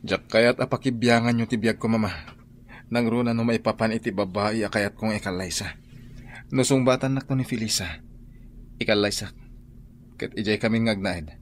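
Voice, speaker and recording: A man playing an earnest young lover, low voice. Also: steady tone, normal speed, long pauses, a little hiss or hum, close to an everyday voice. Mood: pleading. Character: young man defying his mother for love. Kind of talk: radio drama acting.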